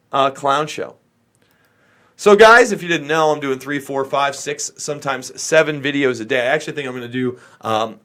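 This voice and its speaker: A man's voice: fairly high pitch, a bit bright. An American man doing off-the-cuff livestream political commentary, talking to viewers in an opinionated, exasperated, emphatic manner.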